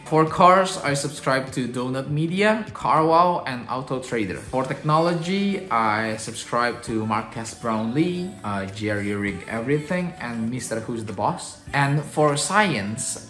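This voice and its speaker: A man's voice: mid-range voice, a bit bright. Young man, echoey room. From Indonesia. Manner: chatty, explains step by step, switches between English and Indonesian. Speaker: YouTuber and English tutor. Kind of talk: casual teaching vlog.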